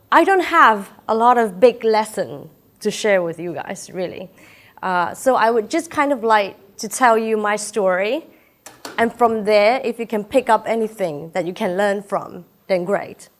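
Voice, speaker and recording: A woman's voice: medium pitch, lively intonation, a little slow, fairly bright tone. A woman in her thirties from Malaysia, Chinese her first language, with a Malaysian accent, giving a chatty, personal keynote talk to an audience while feverish. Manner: chatty, personal, laughs often, frequent "uh" fillers. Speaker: keynote speaker. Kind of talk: inspirational talk. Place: lecture room with audience.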